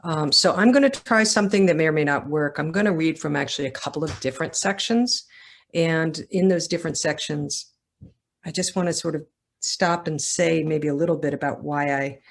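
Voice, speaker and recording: A woman author speaking at a literary event, with talk and reading from her own writing. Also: sits low. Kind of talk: casual talk, then literary reading aloud.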